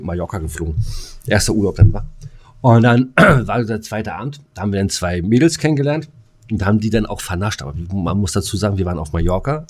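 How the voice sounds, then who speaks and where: A middle-aged man chatting as a podcast co-host, telling stories in coarse, slangy, exasperated fashion. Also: fairly low pitch. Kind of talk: casual chat, storytelling.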